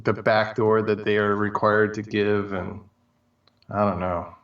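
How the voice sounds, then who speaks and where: A man co-hosting a talk show in casual chat, speaking in a halting, rambling way. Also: fairly low pitch, level tone, a bit bright. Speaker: show co-host. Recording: roomy, distant, dull microphone.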